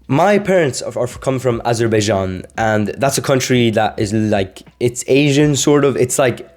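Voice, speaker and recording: A man's voice: mid-range voice, darkish tone. A young man from Sweden, a language-learning vlogger, talking casually to camera, rambling with many fillers and restarts.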